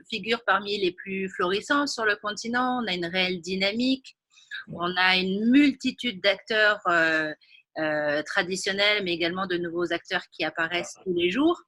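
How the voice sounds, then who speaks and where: A woman's voice: medium pitch, pushed and bright, no room sound. A woman hosting an interview, asking long, structured questions with occasional fillers. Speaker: interviewer, host.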